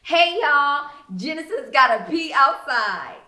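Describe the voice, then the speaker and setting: A woman's voice: high-pitched, sing-song, takes it slowly, pushed and bright, voice pushed up, full character voice. A woman voicing a mocking, smirking teenage girl ringleader with taunting, slangy delivery in a story reading. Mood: loud mocking. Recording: roomy indoor echo.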